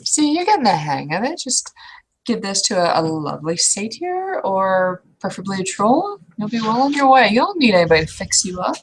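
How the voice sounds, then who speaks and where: A woman's voice: medium pitch, sing-song, brisk, slight background noise, low-quality recording. A woman playing a blunt, kindly, world-weary female troll in a casual tabletop roleplay. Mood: encouraging.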